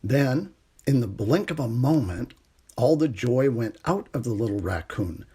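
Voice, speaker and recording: A man serves as storytime reader, narrating a children's book with expression. His voice sits at a middle pitch and has a husky, less clear tone. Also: lively intonation, measured pace, mellow.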